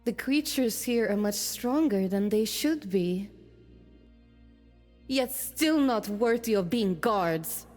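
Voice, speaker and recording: Woman voicing a haughty war goddess, slow, echoing. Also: medium pitch, expressive, a little mellow. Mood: disdainful. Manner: disdainful, commanding. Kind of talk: visual novel voice acting. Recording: long reverb, music behind.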